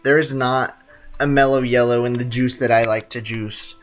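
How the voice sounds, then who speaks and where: A man's voice: mid-range voice, fairly even intonation, moderate pace, soft background music. A young man, streaming a game and making sarcastic jokes with a lot of laughter. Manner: joking, sarcastic, laughs often. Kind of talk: gameplay livestream commentary.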